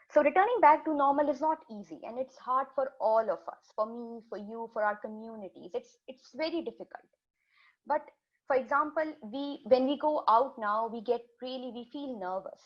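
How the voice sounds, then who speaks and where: A woman whose first language is Urdu walks students through a health talk, her voice sitting a little high. She explains step by step, restarting and repeating phrases as she goes. The audio is dull and lo-fi, like a webinar call. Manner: steady explanatory, restarts words, repeats points. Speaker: health educator presenting a webinar. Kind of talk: slide presentation to students.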